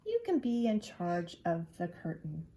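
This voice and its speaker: Woman as a kind, slow-spoken schoolteacher. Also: fairly low pitch, very animated, dark tone, slight background noise, poor audio. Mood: reassuring. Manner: encouraging, instructing.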